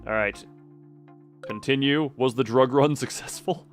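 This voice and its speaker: A man livestreaming a game with casual, excitable commentary, rambling and thinking aloud to himself. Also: medium pitch, measured pace. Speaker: game streamer. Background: music.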